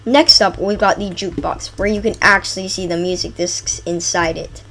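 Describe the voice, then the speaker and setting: A woman with a stuffy nose from a cold, chatting excitedly through a gameplay video, rambling through lists with fillers. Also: lowish voice, moderate pace. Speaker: gaming channel host. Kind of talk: casual gameplay commentary.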